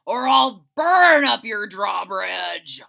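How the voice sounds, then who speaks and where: A woman's voice: sing-song, gravelly, heavily acted. Woman voicing a big, blustering, muffin-hungry storybook dragon. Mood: blustering, threatening. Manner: mumbling, rumbling, drawn-out words with loud roars. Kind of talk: children's storybook read-aloud.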